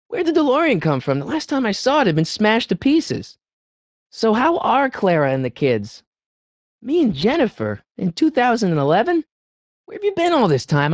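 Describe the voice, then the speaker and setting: An actor playing an excitable, good-hearted teenage boy in an adventure video game, with hesitant stammers and an incredulous way of questioning things. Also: very high-pitched, no room sound, studio quality, heavily acted. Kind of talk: animated video game voice acting.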